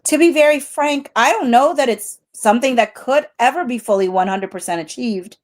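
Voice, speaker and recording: A woman's voice: on the high side, moderate pace. A woman co-hosting a live stream, reading a witness's answers in a hesitant way.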